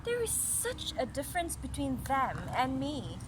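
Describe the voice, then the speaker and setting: Storybook apple branch, read by a woman: haughty, pitying, emphatic, high-pitched, with background noise. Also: wildly swooping pitch, a little slow, heavily acted. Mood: condescending pity. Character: vain, condescending apple branch in a fairy tale.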